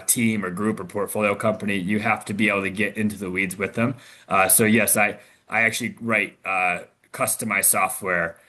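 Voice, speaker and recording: Man from Michigan, bright forceful tone, lowish voice, halting with restarts, video call. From Michigan, USA. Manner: halting, many restarts and fillers. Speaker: investor guest. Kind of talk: answering audience questions on a video call.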